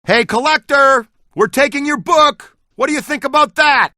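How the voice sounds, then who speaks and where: A man's voice: very high-pitched, normal speed, bright, forceful tone, no room sound, clean studio sound, full character voice. A man voicing an eager, good-natured ghost-hunting scientist in a video game, earnest and technical. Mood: excited, urgent. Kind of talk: video game dialogue, battle shouts and banter.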